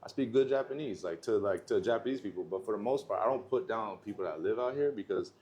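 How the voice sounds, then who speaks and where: Young Canadian man, lowish voice, relaxed slangy chatter full of fillers, guest on a casual chat show. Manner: slangy, loose, quick bursts with filler "like". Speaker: rapper guest.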